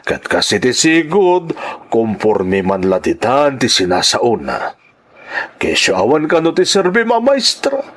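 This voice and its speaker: A man with a Filipino accent playing a calm, protective middle-aged father in a radio drama, husky and reassuring. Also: medium pitch, a little slow, full character voice. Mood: concerned, reassuring. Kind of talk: Ilocano radio drama acting.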